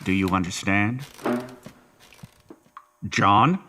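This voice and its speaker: A man plays a relentless police interrogator in an audio horror drama. His fairly deep voice is taunting and condescending, with a deliberate, sardonic bullying edge. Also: pushed and bright, slight background noise, heavily acted. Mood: threatening.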